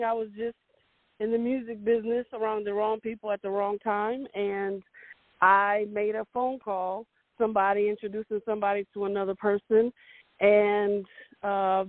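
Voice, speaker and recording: A woman's voice: medium pitch, dry recording. Woman in her forties, from Romania, hesitant, fillers, tinny phone line, dry. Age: 40s. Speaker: guest. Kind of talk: interview, personal story.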